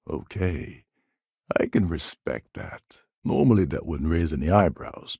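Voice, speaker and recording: An actor playing a weary, wry older space pirate posing as a ship captain in a video game, gravelly and husky, with a sardonic, conspiratorial manner. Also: male voice, sits low, a little mellow, no room sound, studio quality, exaggerated voice. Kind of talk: video game character dialogue.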